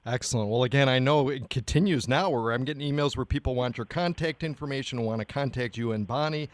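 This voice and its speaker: A man's voice: mid-range voice, quick pace, no room sound. A man hosting a radio interview, talking in an effusive, emphatic way. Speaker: radio show host, interviewer.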